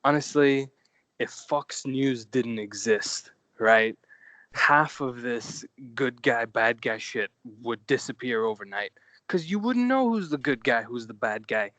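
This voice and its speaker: A man talks as a guest on a casual podcast, blunt and free with his swearing. His voice sits at an ordinary middle pitch and is recorded dry and close, with no room sound. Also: lively intonation, measured pace, a bit bright, dry recording. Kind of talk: casual chat.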